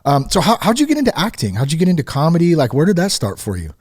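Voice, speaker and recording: A man's voice: a little high, wildly swooping pitch, rapid-fire, a little mellow, husky. A man hosting a podcast, chatting casually in an informal, slangy way with enthusiastic, affirming responses. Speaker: podcast host. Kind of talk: casual interview chat.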